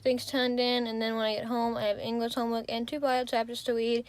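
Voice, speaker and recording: A woman's voice: on the high side, flat delivery, brisk, no echo. A young woman, a college student, chatting casually to her camera as she goes through her day, in a rambling, self-narrating way. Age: young adult. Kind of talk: casual vlog chat. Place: in her car and around campus.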